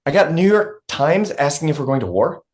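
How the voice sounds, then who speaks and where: Panicky woman press secretary played by an actress, low register, hugely varied pitch, comedy read. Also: fairly quick. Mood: dry, harried. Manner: excitable, swinging from dry to shrieking. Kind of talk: comedy script table read.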